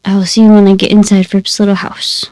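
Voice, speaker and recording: A woman's voice: mid-range voice, flat delivery, fast talker, a little mellow, dry recording, clean studio sound. A woman hosting a gaming video, talking casually to viewers and rambling through asides, her voice stuffy and congested. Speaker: gaming channel host. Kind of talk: casual gameplay commentary.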